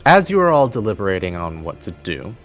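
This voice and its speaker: A man acting as game master, narrating a tabletop fantasy game in a descriptive, deliberate storytelling manner. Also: fairly low pitch, very animated, fairly bright tone.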